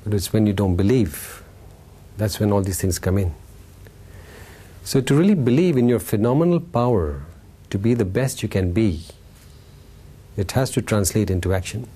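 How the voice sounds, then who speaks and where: Husky, mellow male storytelling teacher. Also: fairly low pitch, animated, moderate pace, pauses often. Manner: informal, playful, acting out dialogue, checking in with listeners. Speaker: Buddhist teacher giving a talk. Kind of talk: animated storytelling Dharma talk to a live group.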